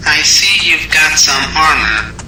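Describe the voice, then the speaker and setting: Earnest robot-speaker voice, sharp and tense, roomy and distant, music behind. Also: male voice, fairly high pitch, animated, slow pace, full character voice. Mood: hesitant. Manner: earnest, lecturing, hesitant at times. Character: mysterious male voice speaking through a hovering robot. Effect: transmitted through a small robot speaker.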